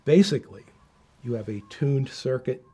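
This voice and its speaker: A man's voice: mid-range voice, moderate pace, mellow, slightly rough. A man giving a plain, unscripted, instructive explanation as he demonstrates equipment. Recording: faint background noise.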